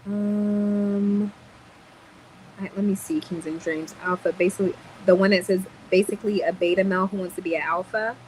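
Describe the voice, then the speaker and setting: A woman's voice: mid-range voice, fairly even intonation, moderate pace, darkish tone, a little hiss or hum, low-quality recording. A woman hosting a livestream panel, chatting bluntly and casually with slangy speech. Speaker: livestream host.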